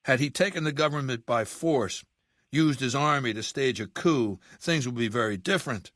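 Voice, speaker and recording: A man acting as an imperious middle-aged warlord from a video game, speaking at a medium male pitch in a very dry studio sound. He lectures in articulate, commanding sentences, with open contempt for whoever is beneath him. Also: a bit bright, dry recording, close to an everyday voice.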